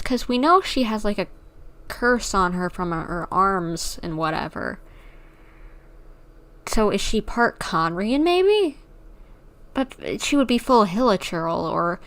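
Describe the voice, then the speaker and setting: Creaky-voiced woman streamer musing aloud. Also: medium pitch, fairly bright tone. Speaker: game streamer. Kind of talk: casual live playthrough commentary.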